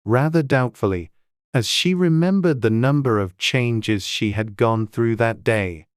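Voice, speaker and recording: Smooth, measured storybook narrator. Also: medium pitch, no room sound, clean studio sound. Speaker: audiobook narrator.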